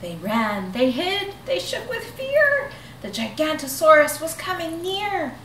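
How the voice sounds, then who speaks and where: A woman in her thirties reading a children's rhyming picture book aloud in an expressive, rhythmic way, seated in a living room. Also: high-pitched, unhurried, a little hiss or hum. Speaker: storybook reader. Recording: echoey room, mic at a distance.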